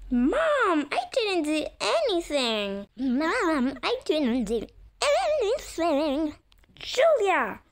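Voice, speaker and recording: Woman acting a whiny, high-pitched young sister. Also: wildly swooping pitch, extremely slow, exaggerated voice. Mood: whiny protest. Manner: dramatic, protesting. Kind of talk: animated roleplay story acting.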